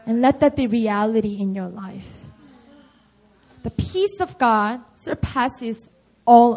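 A young woman with a Korean accent speaks from a church platform in a high, light voice. Her talk is earnest and personal, full of small laughs and "you know". English is her second language. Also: a little mellow. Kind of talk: testimony in a church service. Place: church hall.

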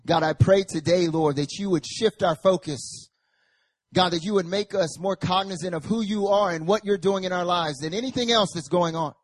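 A young male pastor with a hoarse, raspy voice from a recent chest infection, joking casually with the congregation and then reading Scripture and praying with rising fervor in a church service. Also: sits high, moderate pace, dry recording. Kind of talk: sermon.

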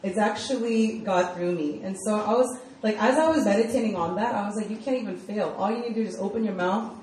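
A young woman from Virginia speaks in a relaxed, chatty way, leaning often on "like" and laughing easily as she shares her testimony. Her voice sits at a middle pitch. It rings out through a very echoey hall, with faint room noise underneath. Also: a little fast. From United States (Virginia). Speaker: campus ministry director. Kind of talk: casual personal testimony sermon.

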